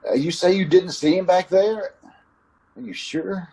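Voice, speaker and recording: A man's voice: sits high, unhurried, dark tone, breathy edge, exaggerated voice. A man playing a haunted, anxious driver in an old-time radio suspense drama, sometimes stammering hesitantly. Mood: frantic, insistent. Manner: hesitant stammer, repeated uhs in dialogue. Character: haunted, anxious male driver narrating his own ordeal. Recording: noisy amateur radio play recording.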